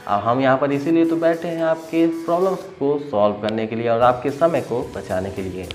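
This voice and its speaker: A man's voice: mid-range voice, brisk, a little mellow, rough recording. Emphatic Indian man reviewing apps, over music. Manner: emphatic, repeats phrases for stress. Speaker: tech video host. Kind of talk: informal app review to camera.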